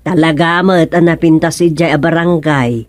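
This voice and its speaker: An actress as a talkative village wife with an Ilocano accent speaks in a slightly low voice, close and dry. She has the teasing, familiar manner of radio comedy drama. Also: fairly even intonation, moderate pace, a little mellow, dry recording, natural voice. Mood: chatty.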